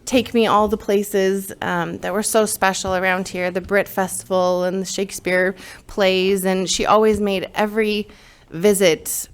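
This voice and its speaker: A woman giving a eulogy for her aunt, reading a tribute and then sharing memories, with soft laughs between phrases. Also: medium pitch, little pitch movement, unhurried, hardly any pauses, smooth.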